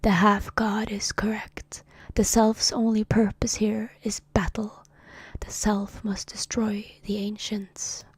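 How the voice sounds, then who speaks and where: A woman voicing a solemn ancient male cosmic being in a hushed whisper, reading a comic aloud on a stream. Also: medium pitch, monotone, slow, few pauses, slightly rough, no echo, exaggerated voice. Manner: hushed whisper, formal speech about itself.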